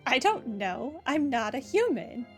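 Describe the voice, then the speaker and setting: A woman's voice: high-pitched, very animated, unhurried. A woman game streamer giving casual commentary on a playthrough. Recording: game music behind the voice.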